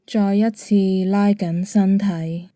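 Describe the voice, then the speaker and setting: A woman with a Hong Kong Cantonese accent, gently guiding a bedtime meditation in a calm, soothing manner. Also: mid-range voice, slow, dry recording. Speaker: meditation guide. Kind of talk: guided bedtime meditation narration.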